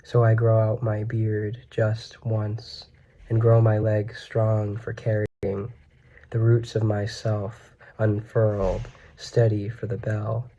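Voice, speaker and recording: A young man in his twenties solemnly reads his poetry in a measured way, in a small room on a webcam mic. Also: lowish voice, completely flat delivery, warm tone, dull audio. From United States. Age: 20s. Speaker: poet. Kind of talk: poetry reading. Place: small plain room indoors.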